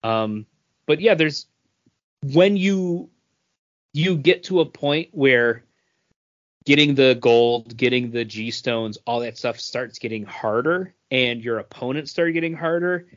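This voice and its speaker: Male podcast co-host, average pitch, conversational game talk, lo-fi recording. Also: takes it slowly. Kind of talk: casual chat about mobile games.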